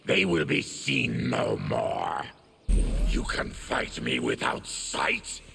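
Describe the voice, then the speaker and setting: An actor playing a proud, bitter, monstrous warrior in a video game, speaking sternly and formally in a menacing growl. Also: male voice, animated, slow pace, background noise, exaggerated voice. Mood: grim. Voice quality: deep gravelly growl. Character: afflicted monstrous warrior, proud, bitter former army tribune. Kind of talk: video game fight-intro dialogue.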